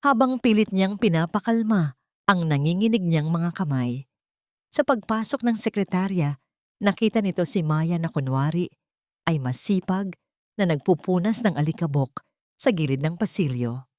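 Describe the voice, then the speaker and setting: A woman's voice: on the low side, lively intonation, normal speed, a little mellow, dry recording, clean studio sound. A woman with a Filipino accent reads a scripted dramatic story as its narrator.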